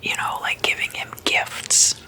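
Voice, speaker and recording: ASMR host, fully whispered, breathy and very crisp-edged, unhurried casual explainer delivery. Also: pushed and bright, poor audio. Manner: slow, deliberate, with drawn-out pauses.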